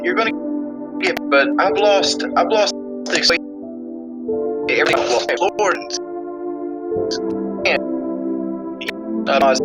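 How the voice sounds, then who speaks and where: A man chatting casually as a livestreamer, halting and repeating himself as he goes. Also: on the high side. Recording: loud music over the voice.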